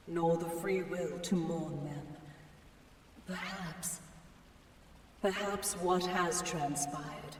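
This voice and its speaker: A man voicing a weary, cursed male being bound by an alien will, speaking slowly and solemnly in a game. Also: on the high side. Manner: slow, solemn, brooding. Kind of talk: game voice acting. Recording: echoey hall from far off, faint noise.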